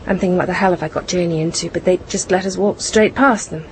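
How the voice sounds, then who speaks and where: A woman's voice: on the low side, fast talker, slight background noise, natural voice, poor audio. An actress playing a British housewife and mother working as a courier spy in a radio drama, composed but tense, with a British accent. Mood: animated, keyed up. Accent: British English.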